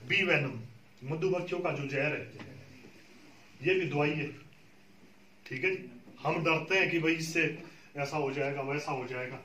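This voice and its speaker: Man, patient trainer explaining to farmers; slightly high voice, distant and roomy with faint background noise. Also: a little slow, pauses often, pushed and bright. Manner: patient, explanatory, often checks with "ठीक है जी". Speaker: beekeeping trainer. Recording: echoey room, far from the mic.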